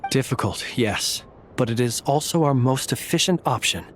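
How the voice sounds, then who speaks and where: Man as a calm, methodical investigator. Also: medium pitch, a little hiss or hum, lightly acted. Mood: calm, determined. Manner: formal, measured.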